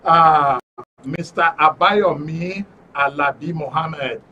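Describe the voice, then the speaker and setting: A Nigerian man hosting a live studio panel show, introducing things haltingly and repeating phrases. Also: fairly high pitch, pushed and bright, lo-fi sound. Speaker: radio and TV programme host. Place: radio and TV studio. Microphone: studio microphone. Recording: faint noise, distant studio mic.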